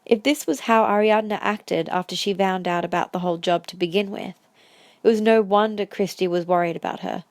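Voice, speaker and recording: A woman narrates a scripted audio drama in story prose. Her voice has a medium pitch and the sound is close and very dry. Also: steady tone, a little fast, no echo.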